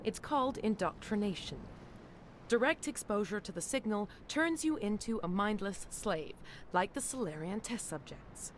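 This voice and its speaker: An actress playing a frightened alien scientist in a video game, nervous and eager to explain herself. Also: female voice, medium pitch, lively intonation, moderate pace, slight background noise, close to an everyday voice. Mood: uneasy, explaining. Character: frightened alien woman scientist, anxious to escape.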